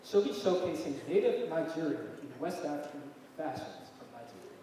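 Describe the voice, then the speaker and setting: Upbeat male emcee, slightly high voice; distant, reverberant auditorium sound. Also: animated, a little fast, mellow. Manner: upbeat, hypes up the crowd. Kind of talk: introducing student performers. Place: school auditorium. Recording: echoey hall from far off, noisy.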